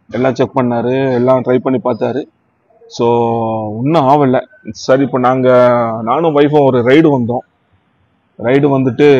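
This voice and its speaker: A man's voice: medium pitch, a little mellow, breathy edge, no room sound, muffled recording. A man with a Tamil Nadu accent chatting casually as he reviews gear, mixing English words into his talk. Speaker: motorcycle vlogger reviewing gear. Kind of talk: casual product review chat.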